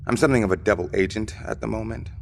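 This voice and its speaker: A man acting in an audio drama as a cagey investigator, measured, evasive and dryly polite, with a gravelly voice. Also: fairly low pitch. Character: cagey, coolly polite male investigator and double agent. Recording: reverberant hall with background noise.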